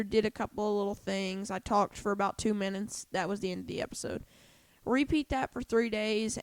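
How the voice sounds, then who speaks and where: A congested woman talking to her viewers as a gaming YouTuber, sounding stuffy after just waking up. She rambles and corrects herself as she goes and drops gamer slang, with a voice of ordinary pitch. The sound is dry, with no room echo. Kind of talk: casual talk to viewers.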